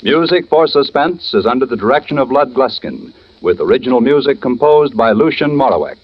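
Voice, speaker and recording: Formal American announcer, medium-pitched. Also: male voice, animated, fairly quick. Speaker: radio announcer. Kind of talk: 1940s formal credit reading. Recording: dull old radio recording, music behind.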